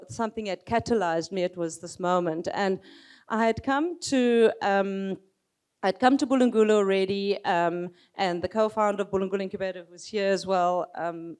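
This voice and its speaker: A woman with a loud voice speaking on a panel, telling an earnest story and repeating words as she searches for them. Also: medium pitch, smooth. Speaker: panelist, nonprofit co-founder.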